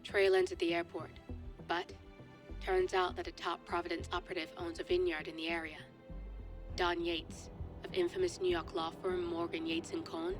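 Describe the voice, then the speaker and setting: A woman's voice: on the low side, flat delivery, normal speed, faint music behind, lightly acted. An actress playing a composed female intelligence contact in a cinematic game, briefing in a matter-of-fact, controlled way. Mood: businesslike.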